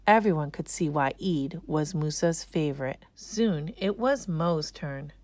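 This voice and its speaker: A woman reading a children's story aloud as an expressive narrator. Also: deep voice, unhurried, no echo. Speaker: storybook reader.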